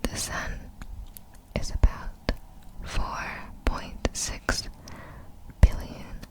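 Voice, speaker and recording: A woman whispering softly in an ASMR style, reading out facts in short, measured, breathy phrases. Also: rough recording. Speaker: ASMR video creator.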